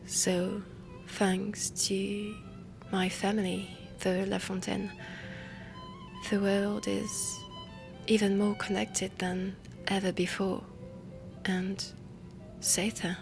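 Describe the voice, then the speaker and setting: A woman playing a refined, ambitious heiress inventor in a steampunk audio roleplay, speaking softly and deliberately with a French accent, often close to a whisper. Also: medium pitch, flat delivery, gravelly. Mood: earnest, proud. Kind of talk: soft intimate audio roleplay. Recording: music under the voice. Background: background music.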